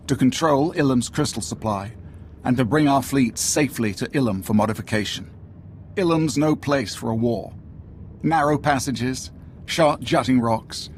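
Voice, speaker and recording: A man's voice: mid-range voice, slight background noise, lightly acted. Stern, clipped Imperial commander played by a man. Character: stern, exasperated male Imperial military commander. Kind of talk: dramatic video game acting.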